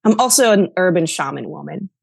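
A woman's voice: on the low side, lively intonation, fairly quick, creaky, husky, dry recording, clean studio sound. A middle-aged woman from Slovenia with a Slovenian accent, speaking conversationally as an interview guest. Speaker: interview guest, actor and playwright.

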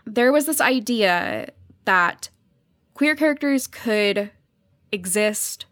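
A woman's voice: mid-range voice, expressive, takes it slowly, a bit bright, dry recording, studio quality. A young adult woman talking conversationally as a podcast guest, explaining things with enthusiasm. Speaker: podcast guest, journalist covering fiction podcasts. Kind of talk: conversational interview commentary.

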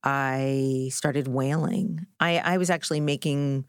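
A middle-aged woman as an interview guest, speaking candidly and deliberately in reflective, personal storytelling. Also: extremely low pitch, fairly even intonation, clean tone, dry recording, clean studio sound.

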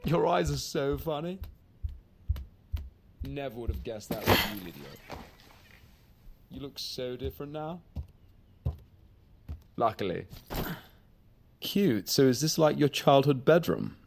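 An actor playing a breezy, tactless young boyfriend in a naturalistic audio drama, casual and teasing. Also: male voice, mid-range voice, expressive, slow, vocal fry, pauses often, noisy background.

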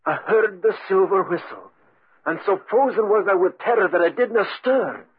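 An actor playing a devoted, morbid old manservant in a 1940s radio drama, with a husky voice. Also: male voice, sits high, lively intonation, normal speed, heavily acted. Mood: frightened, resolute. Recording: muffled 1940s radio recording.

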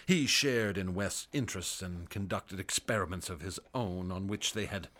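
An actor playing a haunted doctor who narrates gravely and grows excited in scenes. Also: male voice, low voice, moderate pace. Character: frightened male doctor assistant and narrator. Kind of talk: radio drama narration.

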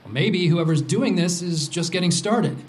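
A man's voice: sits high, normal speed, a little mellow, natural voice. An actor playing a brash, sarcastic, vindictive man in an audio drama support group. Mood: smug. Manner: sarcastic, provocative.

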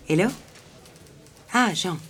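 An actress playing a polite young office secretary in an audio drama, who also narrates in the first person. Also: female voice, on the low side, expressive, takes it slowly, a little hiss or hum. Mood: polite, slightly flustered. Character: polite, fond French office secretary, engaged young woman. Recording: music behind the voice.

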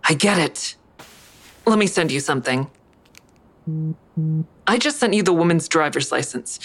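A woman's voice: sits low, lively intonation, moderate pace, fairly bright tone, heavily acted. An actress playing a guarded, distrustful woman with a terse, serious manner in an audio drama. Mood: curt resolve.

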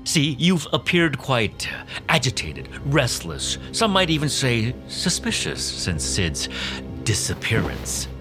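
A man playing a smug, accusing corporate boss in an audio drama, speaking in a measured, insinuating and condescending way. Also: mid-range voice, very animated, pushed and bright, heavily acted. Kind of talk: audio fiction acting. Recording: music bed behind the voice.

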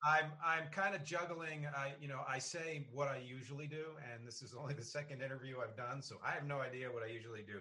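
A man's voice: medium pitch, fairly even intonation, fast talker, few pauses, pushed and bright. An American man hosting a casual interview, rambling and often cutting into his own sentences. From South Jersey, United States. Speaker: podcast host and interviewer.

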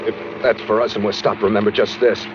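A man's voice: lowish voice, little pitch movement, fast, natural voice. An actor playing a tired, bitter, threatening gunman on the run, in 1940s radio drama style. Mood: cold menace. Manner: threatening, curt. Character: tired, menacing fugitive gunman, suspicious and bitter. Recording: muffled noisy 1940s radio recording.

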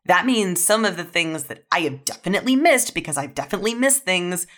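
Female podcast guest, writer, low register, conversational and emphatic. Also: expressive, brisk, fairly bright tone. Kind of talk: casual panel discussion.

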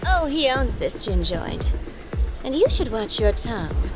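Slow, sultry vintage starlet. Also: female voice, a little high, big pitch swings. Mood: knowing, cautioning. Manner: sultry, unhurried, knowing. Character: sultry female 1940s movie starlet, worldly, teasing. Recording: loud music behind the voice.

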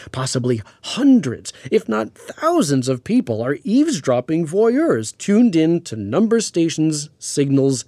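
Astrophysicist radio guest, higher-set voice, dry close sound, pedantic, patient, precise, audio drama. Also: male voice, mellow, no echo. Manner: pedantic, measured, hesitant with hedging asides. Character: learned male astrophysicist, patient, precise, mildly amused Cold War expert.

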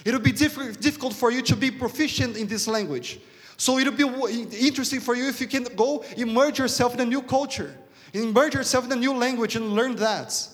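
A male preacher with a Brazilian accent speaks in an extremely high register for a man. He delivers a sermon emphatically, repeating key words and posing questions to his listeners. Long reverb from a large church hall surrounds his voice. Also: brisk. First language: Portuguese. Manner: repetitive, emphatic, rhetorical questions. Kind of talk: sermon to a congregation.

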